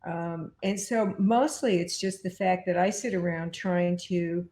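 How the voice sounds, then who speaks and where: A woman's voice: on the low side, steady tone, a little slow, warm tone. A woman giving casual, informal interview answers as a guest, with a self-deprecating way of talking. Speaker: podcast and comics producer, guest. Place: indoors at home. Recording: echoey room sound.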